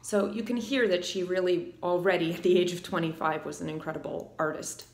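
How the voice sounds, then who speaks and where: A woman's voice: on the low side, a little fast. A woman in her thirties, a vocal coach, explaining technique and singing short examples in a living room on a lapel mic. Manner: explanatory, breaks into sung examples. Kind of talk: singing lesson with sung demonstrations. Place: living room with piano, indoors. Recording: roomy echo, faint music.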